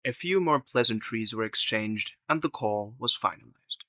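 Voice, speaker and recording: A man's voice: fairly low pitch, expressive, normal speed, pushed and bright, no echo. A man narrating an audio drama in a scripted storytelling style.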